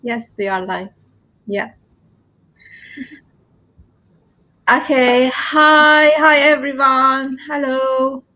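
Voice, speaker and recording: A woman hosting a casual live interview in a halting, hesitant way. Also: sits high, bright, forceful tone, low-quality recording. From lives in London.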